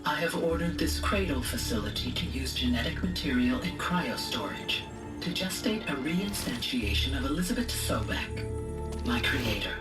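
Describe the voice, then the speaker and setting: A woman playing a calm, formal artificial intelligence in a video game, speaking with measured precision. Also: rumbling low. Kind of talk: scripted game voice acting, formal recorded message. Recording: echoey, distant, under loud music.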